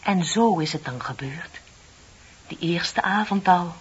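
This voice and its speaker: An actress playing a heartbroken, ashamed young woman confessing in a radio play, dramatic and drifting into breathy near-whispers. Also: lowish voice, slow, full character voice. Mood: agitated confession. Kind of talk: Dutch radio play acting. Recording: muffled radio play recording with tape hiss.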